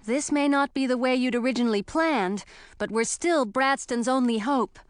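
A woman's voice: high voice, moderate pace, smooth, no room sound, clean studio sound, voice pushed up, lightly acted. A woman voicing a stubborn, devoted young heroine in a fantasy audiobook, earnest and insistent. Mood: determined.

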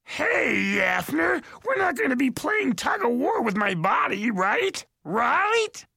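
A man's voice: extremely high pitch, sing-song, takes it slowly, gravelly, sharp tone, husky, no room sound, studio quality, pitched above the performer's own voice, exaggerated voice. A man voicing a frantic, cheeky little male hobgoblin in an audiobook, with an indignant, yelping way of talking. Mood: indignant, alarmed. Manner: yelping, indignant, colourful insults. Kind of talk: audiobook character voice.

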